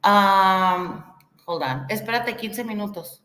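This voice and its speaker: Woman, slow-paced, casual panel chat, sarcastic edge, echoey room. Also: mid-range voice, pushed and bright. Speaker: livestream panel member.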